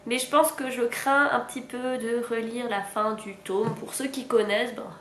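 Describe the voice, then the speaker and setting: A woman's voice: on the high side, fairly even intonation, moderate pace, a bit bright, echoey room, a little hiss or hum. A young woman with a stuffy cold, chatting frankly about her reading as a book vlogger, with sighs and small laughs. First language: French. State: sick with a stuffy nose. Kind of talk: casual reading update.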